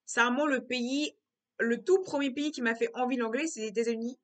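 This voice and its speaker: A young woman talks through her thoughts in a relaxed vlog monologue. Her voice sits a little high and sounds bright and clear. She keeps restarting and repeating phrases as she thinks aloud. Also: brisk. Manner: repetitive, circling back on her words. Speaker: vlogger. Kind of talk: casual reflective monologue.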